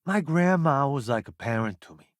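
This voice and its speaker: A man's voice: medium pitch, animated, no room sound, studio quality, close to an everyday voice. A man playing a vain, flamboyant columnist turned space crewmate in a video game, with a wry, theatrical, smug delivery. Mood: wry, chummy. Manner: theatrical, wry, smug banter with drawn-out emphasis. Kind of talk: video game companion dialogue.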